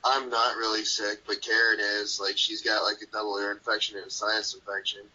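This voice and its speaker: Hesitant guest man, bright, lo-fi. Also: lowish voice, level tone, a little fast, husky. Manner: hesitant, stumbling. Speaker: phone-in guest. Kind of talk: casual chat.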